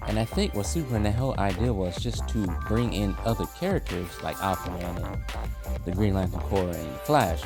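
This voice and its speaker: Male host, casual reviewer: low register with fry, informal conversational delivery, lots of pitch movement. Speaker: host of an animation history video series. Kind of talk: casual opinionated review commentary. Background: background music.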